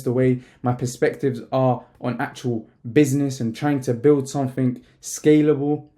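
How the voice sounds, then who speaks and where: A young man from Palestine talks straight to the camera, emphatic and full of slang. His voice is mellow and relaxed, sitting at an ordinary middle pitch. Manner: casual, emphatic, fast-talking with slang. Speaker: online business coach. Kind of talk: talking-head vlog to camera.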